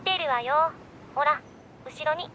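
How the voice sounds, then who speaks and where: A woman's voice: high-pitched, fairly quick, rough recording. A woman playing an impatient, scolding teenage girl in a Japanese visual novel. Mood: pushy. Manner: bossy, nagging, sharp-tongued.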